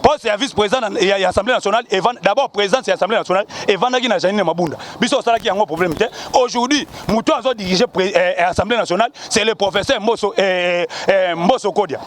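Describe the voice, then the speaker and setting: A man's voice: sits high, quick pace, few pauses. A man from Kongo Central, arguing emphatically as a party supporter in a street interview. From Kongo Central, DR Congo. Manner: emphatic, repetitive, rallying. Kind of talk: impassioned political argument.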